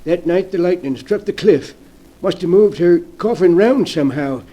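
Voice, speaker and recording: A man's voice: high voice, moderate pace, mellow. A man in a 1940s radio drama playing a faithful old manservant, simple and uneasy.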